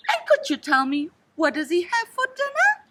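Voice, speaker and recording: A woman voicing a curious young male elephant calf who keeps asking questions, in a high, pleading storybook voice. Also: wildly swooping pitch, normal speed, sharp tone, recorded from a distance, a little hiss or hum, exaggerated voice. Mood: eager, curious. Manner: high squeaky pleading voice, turning tearful.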